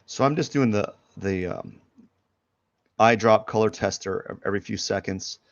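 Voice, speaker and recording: A man's voice: on the low side, moderate pace, vocal fry, no room sound. A man with a head cold, sniffling as he rambles loosely through a live drawing stream with many fillers. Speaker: artist streaming a drawing session. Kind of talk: casual live drawing commentary. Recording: dull lo-fi stream audio.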